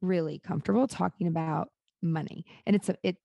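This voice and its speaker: A woman with a raspy voice hosting a podcast, chatty and upbeat. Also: fairly low pitch, fairly even intonation, brisk, dark tone, no room sound, highs cut off. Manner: upbeat, chatty, says y'all. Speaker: podcast host. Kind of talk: casual interview chat.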